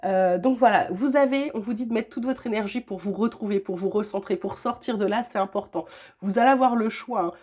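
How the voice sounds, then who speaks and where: A woman's voice: mid-range voice, brisk, a little mellow. Chatty older woman with a cold. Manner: chatty, reassuring, many hesitations. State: has a cold. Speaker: tarot reader. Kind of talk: weekly tarot guidance. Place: at home.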